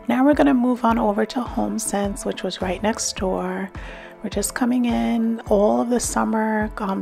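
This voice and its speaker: A middle-aged woman narrating a shop-with-me vlog about home decor, enthusiastic and gushing over finds, sometimes dropping to a soft whisper. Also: mid-range voice, vocal fry. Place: in a car, then walking through large stores.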